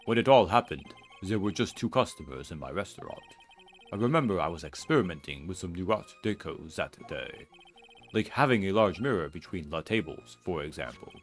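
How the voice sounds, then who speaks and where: A man's voice: low-pitched, lively intonation, brisk, long pauses. A man voicing a flamboyant French male head chef, with a put-on French accent and theatrical French phrases, for a fan-voiced game. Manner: theatrical, sprinkles French words.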